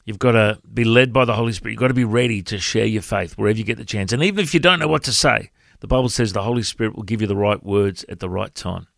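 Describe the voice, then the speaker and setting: Australian male pastor, big voice, storytelling radio devotion, slight fry. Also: fairly low pitch, quick pace, no room sound, studio quality. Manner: storytelling, conversational.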